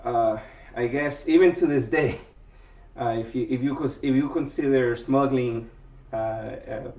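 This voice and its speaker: A man's voice: medium pitch, a little mellow. A man from Venezuela answers questions as a guest cook on a podcast, stumbling over fillers and restarting his sentences. He sounds distant from the mic in an echoing room, with a dull lo-fi tone. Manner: halting, many fillers and restarts. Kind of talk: casual interview answers.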